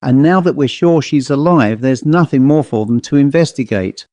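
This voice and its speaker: A man voicing a perplexed, brisk upper-class gentleman in an audiobook story, speaking in an educated, musing way. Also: medium pitch, no room sound, close to an everyday voice. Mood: puzzled, musing. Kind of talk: audiobook character voice.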